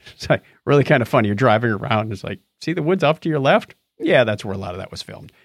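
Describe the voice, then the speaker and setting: A man's voice: mid-range voice, fast talker, creaky, dry recording, clean studio sound. A man co-hosting a podcast, chatting casually about movies and telling stories in a chatty way. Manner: chatty, animated storytelling. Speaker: podcast co-host.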